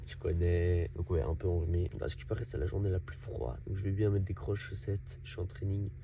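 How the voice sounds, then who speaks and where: Low-voiced young man with a cold. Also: steady tone, a little fast, gravelly, noisy background. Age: young adult. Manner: rambling, chatty, filler-heavy. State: has a cold, morning voice. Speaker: vlogger. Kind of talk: casual vlog narration.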